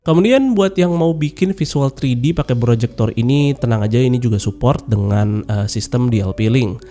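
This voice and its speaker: A man's voice: medium pitch, normal speed, warm tone. A young man giving a casual product review as a voice-over, his voice nasal and stuffy from a cold, chatty and informal. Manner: chatty and informal, with many filler particles. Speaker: tech reviewer. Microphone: voice-over mic.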